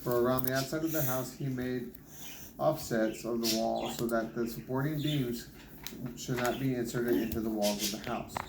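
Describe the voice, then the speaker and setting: A man's voice: mid-range voice, steady tone, normal speed. A man reading scripture aloud as a Bible reader, sometimes stumbling and rereading words. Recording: noisy, echoey home recording with clicks.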